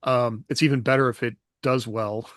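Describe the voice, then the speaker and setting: A man's voice: mid-range voice, fairly even intonation, normal speed, dry recording. Reflective male podcast co-host, dry sound. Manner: reflective, hesitant, laughs often. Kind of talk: casual chat.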